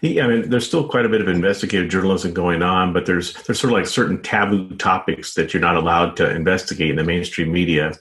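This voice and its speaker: A man speaking as an interview guest, a journalist and author, answering conversationally in a wordy, halting way full of verbal padding. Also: low voice, fairly bright tone. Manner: hesitant, wordy, frequent "you know" and "sort of".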